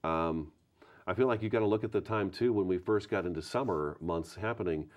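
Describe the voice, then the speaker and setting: Man, American accent, deep-pitched, interview host, casual conversational questioning, folksy colloquial wording. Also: a little fast, highs cut off.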